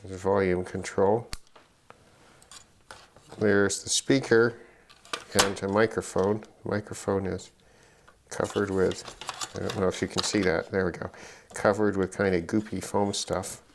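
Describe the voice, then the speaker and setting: A middle-aged man giving unscripted commentary on an electronics teardown, halting and musing as he thinks aloud. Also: low-pitched, level tone, noisy background. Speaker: electronics hobbyist presenter.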